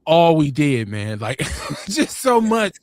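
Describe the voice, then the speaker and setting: A man in his forties telling stories in casual chat, rambling and laid-back, getting animated when quoting others. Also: a little high, takes it slowly, no echo, clean studio sound. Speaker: podcast host.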